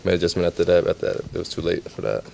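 A young man, a basketball player, giving low-key, halting post-game answers in a locker room, with many fillers. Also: lively intonation, vocal fry, warm tone, slight background noise. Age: young adult.

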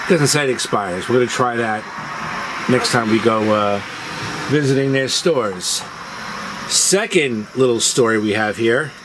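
A man's voice: mid-range voice, unhurried. A man hosting a video, telling personal stories in a casual way. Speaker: video host. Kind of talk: casual storytelling. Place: outdoors on a house porch at dusk. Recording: outdoor noise, chirping insects. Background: crickets and insects.

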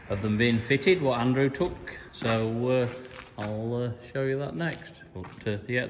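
A middle-aged man farmer giving a casual video diary, talking in a plain, unhurried way with many fillers, filmed on a phone held at arm's length. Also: mid-range voice, in a large hall, noisy background. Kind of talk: casual farm vlog. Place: farm sheds and yard, indoors and outdoors.

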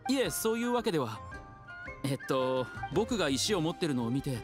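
A courteous, modest young scholar in an anime game. His pitch moves about in a lively way as he speaks with earnest deference, and soft music plays behind him. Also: fairly quick. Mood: polite, modest. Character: polite, modest bespectacled young gem expert, soft-mannered.

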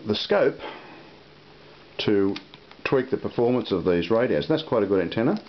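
Very deep-voiced man, halting delivery. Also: sing-song. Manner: halting, unhurried. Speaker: product reviewer. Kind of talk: casual unscripted product demonstration. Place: indoor room at a table. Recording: muffled lo-fi audio with hiss.

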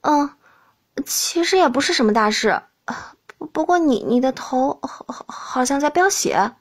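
A woman playing a feisty, excitable young princess who is easily flustered, in an audiobook. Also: sits high, unhurried. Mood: sheepish. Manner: excitable, indignant. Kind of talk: audiobook character voice.

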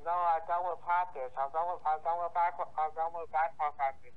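A man with a high-pitched voice talks casually down a muffled, tinny phone line. He speaks haltingly and keeps repeating phrases insistently. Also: fairly even intonation. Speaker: prank call recipient. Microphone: telephone.

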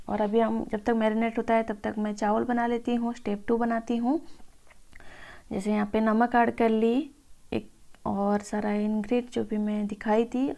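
Female home cook for informal recipe voice-over; gravelly fry, fairly even pitch, mellow tone. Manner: addresses viewers as friends. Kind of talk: informal cooking tutorial voice-over.

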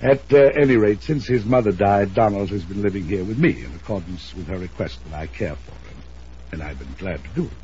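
An actor playing a pompous, controlling older uncle in 1950s radio drama style, short-tempered and given to formal, blustering speech. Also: male voice, on the low side, brisk, mellow, lightly acted. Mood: stiff, dignified. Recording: muffled hissy old radio recording.

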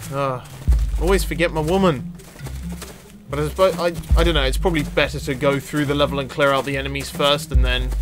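A man giving casual let's-play commentary, exclamatory and talking to the game's characters as he plays. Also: medium pitch. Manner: exclamatory, talks to the game characters. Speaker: gaming video host. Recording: game music behind the voice. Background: video game music.

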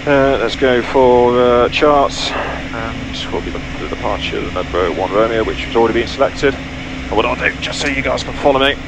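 A man's voice: medium pitch, normal speed. A man in his thirties on an aviation headset, giving clipped, matter-of-fact cockpit commentary and procedural radio readbacks as a pilot. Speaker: pilot and flight vlogger. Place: small private jet cockpit. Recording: loud aircraft cockpit noise. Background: loud aircraft engine and cabin noise.

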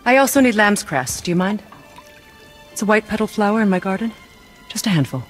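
A woman acting a solitary, knowing forest witch in a video game, speaking in a measured, firm and cryptic way. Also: medium pitch, animated, natural voice. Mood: calm, knowing. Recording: game music behind the voice.

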